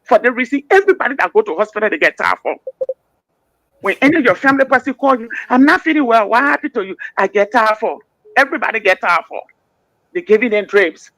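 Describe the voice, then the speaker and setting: A woman's voice: a little high, lively intonation, fast talker, a bit bright, slight background noise, rough recording. A woman with a Liberian accent calling in to a radio talk show, speaking emphatically and often stammering and repeating words. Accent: Liberian English. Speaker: caller.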